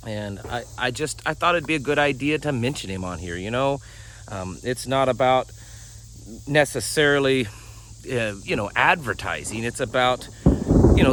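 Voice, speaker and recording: A man hosting his own channel, talking casually with a raspy, worn-out voice and many fillers and restarts. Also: mid-range voice, fairly bright tone. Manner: rambling, frequent fillers and restarts. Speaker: channel host. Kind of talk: casual talk to camera.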